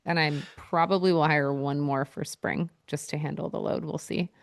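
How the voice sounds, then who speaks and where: A woman with a notably low voice full of gravelly fry co-hosts a casual podcast. She talks in a chatty, teasing way, recorded dry and close with no room sound. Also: steady tone, normal speed, no echo. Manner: chatty, laughs easily, teasing. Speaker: podcast co-host, photography business owner.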